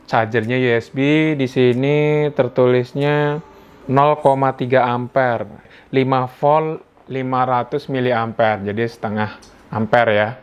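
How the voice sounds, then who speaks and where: A man's voice: medium pitch, fairly even intonation, measured pace, clean tone, lo-fi sound. A man with an Indonesian accent giving a casual, conversational unboxing narration as a product reviewer, explaining things informally. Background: background music.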